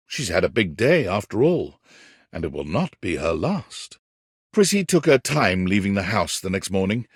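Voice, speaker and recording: A man playing a whimsical, wry yet tender storybook narrator in a video game, with theatrical, deliberate phrasing. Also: mid-range voice, no room sound, studio quality. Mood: tender, wistful. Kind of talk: scripted second-person storybook narration.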